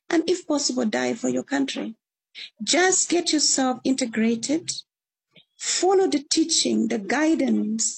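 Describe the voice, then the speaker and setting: A woman's voice: high-pitched, vocal fry, darkish tone, breathy edge, poor audio. A woman from northern Uganda with a Ugandan accent, answering interview questions in an earnest, encouraging way. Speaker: returnee guest.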